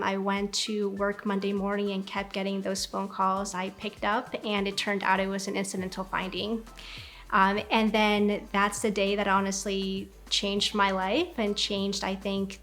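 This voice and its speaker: A young woman in her twenties, from Ecuador, tells her story in an earnest, reflective interview. Her voice sits at a middle pitch, with soft music under it. She pauses often with small ums. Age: 20s. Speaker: graduate student. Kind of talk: documentary interview testimony.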